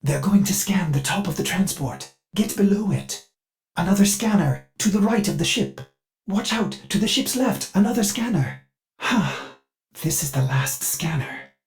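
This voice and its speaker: A man's voice: sits high, lively intonation, moderate pace. A man voicing a fussy, servile ship computer AI in a video game, speaking in a chirpy, formal and theatrical way with an undercurrent of violent glitches. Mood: helpful, anxious. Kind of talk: video game character voice lines.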